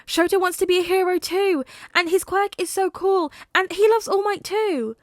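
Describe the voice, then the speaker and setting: A woman voicing an excitable little boy who chatters eagerly and gushes. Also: moderate pace, no room sound, clean studio sound. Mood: excited. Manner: breathless, gushing, high-pitched.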